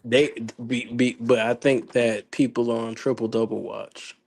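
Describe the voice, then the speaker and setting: A man with a gravelly, hoarse voice chats about sports in a loose, slangy way. He rambles and restarts often, pitched in the middle range for a man. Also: fairly even intonation, unhurried, darkish tone. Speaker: podcast host. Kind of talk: casual sports chat.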